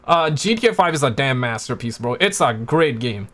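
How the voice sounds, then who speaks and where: A man's voice: medium pitch, lively intonation, normal speed, fairly bright tone. A man in his twenties chatting casually on a live stream, slangy and stammering, often repeating words and swearing offhand. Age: 20s. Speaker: streamer reacting to gaming news.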